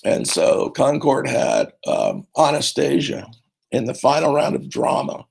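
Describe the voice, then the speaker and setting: A man's voice: mid-range voice, huge pitch swings, unhurried, vocal fry, a little mellow, slightly rough. A middle-aged man, a speech and debate coach, giving a rambling conference talk full of fillers and restarts.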